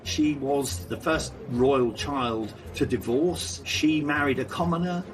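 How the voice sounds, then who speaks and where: A man's voice: mid-range voice, slow, poor audio. A man speaking as a royal commentator in an interview. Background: faint music.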